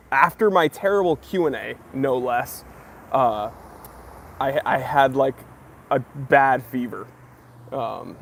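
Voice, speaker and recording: A man's voice: medium pitch, slight background noise. Young american guy chatting on a livestream, reads comments, jokey, bit wheezy from a cough. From United States. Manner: chatty and joking, greets viewers by name. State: slightly wheezy, recovering from a cough. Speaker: livestream host. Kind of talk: casual live Q&A chat, reading comments.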